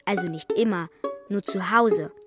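A woman's voice: medium pitch, measured pace, over loud music, close to an everyday voice. A woman playing an affectionate, slightly anxious young girl in a German radio play for children, speaking in an earnest, childlike way. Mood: eager, confiding.

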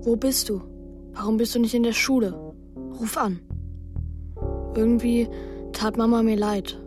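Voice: mid-range voice, steady tone, measured pace, music in the background, close to an everyday voice. A performer voicing a young boy in a children's radio play, thoughtful and hesitant, worried about his brother, both acting and narrating. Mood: pensive.

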